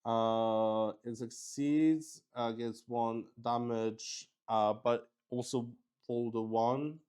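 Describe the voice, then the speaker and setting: A man narrating a solo tabletop roleplaying game as he plays, thinking aloud and speaking haltingly. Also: medium pitch, fairly even intonation, darkish tone, clean tone. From Luxembourg.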